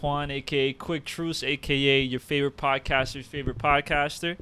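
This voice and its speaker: A man's voice: medium pitch, steady tone, moderate pace, pushed and bright, clear, resonant tone. A young man co-hosting a podcast, joking and swearing in casual, rambling chat. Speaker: podcast co-host.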